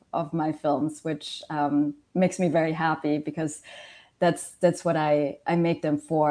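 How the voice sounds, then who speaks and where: Low-voiced German-raised woman filmmaker. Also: dark tone. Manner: earnest, many fillers and restarts. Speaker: documentary filmmaker, guest. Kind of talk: interview answers.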